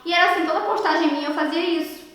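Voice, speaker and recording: A young woman, telling her story at an online conference in an animated way, often checking in with the audience. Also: very high voice, very fast. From Ceará, Brazil. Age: 20s. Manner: animated storytelling, frequent tag questions to the audience. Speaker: beauty therapist speaker at an online conference. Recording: very echoey room.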